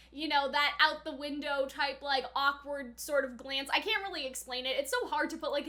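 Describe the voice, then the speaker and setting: A woman's voice: high voice, pushed and bright. A young woman giving casual, chatty reaction commentary, thinking aloud in a slangy, giggly way. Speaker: anime reaction host.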